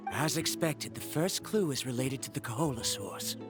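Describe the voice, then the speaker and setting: A man's voice: mid-range voice, lively intonation, normal speed, music in the background, natural voice. A man voicing an earnest, pedantic young male scholar in a video game, formal and skeptical. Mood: studious. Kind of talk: animated video game dialogue.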